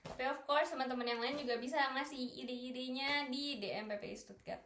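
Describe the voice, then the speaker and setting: A woman's voice: high-pitched, a little fast, fairly bright tone. A young woman, bantering casually as a co-host in a playful, teasing, slangy way. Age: young adult. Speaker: podcast co-host prompting others. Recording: echoey room, noticeable background noise.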